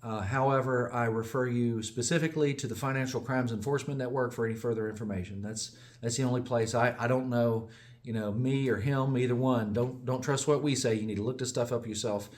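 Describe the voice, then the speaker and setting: A man's voice: medium pitch, steady tone, a little fast, darkish tone. A man from the United States, a small business owner, giving a casual, digressive update that often corrects itself and chuckles along the way. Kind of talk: casual rambling monologue.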